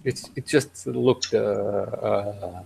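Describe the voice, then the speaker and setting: A man co-hosting a casual tech podcast over an online call, speaking in a hesitant, halting way. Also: lowish voice, creaky, a little mellow, slight background noise. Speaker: podcast co-host. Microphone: online video hangout.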